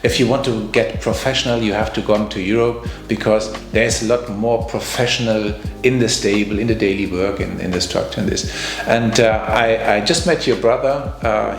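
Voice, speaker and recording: A man's voice: fairly low pitch, vocal fry. A middle-aged German man hosting a podcast, asking rambling questions with many restarts in non-native English. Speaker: podcast host. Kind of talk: conversational interview. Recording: echoey room, faint music.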